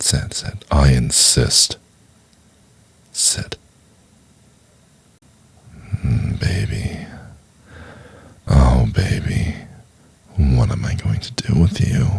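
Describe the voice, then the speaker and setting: Very deep gravelly, seductive male professor acted by a man. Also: no echo, full character voice. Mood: commanding, coaxing.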